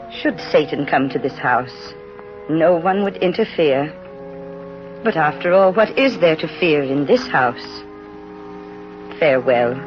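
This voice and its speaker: An actress playing a gentle, devout old woman, tenderly reading out a letter in measured old radio style. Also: low voice. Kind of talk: letter read aloud, 1930s radio acting. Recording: muffled old radio recording.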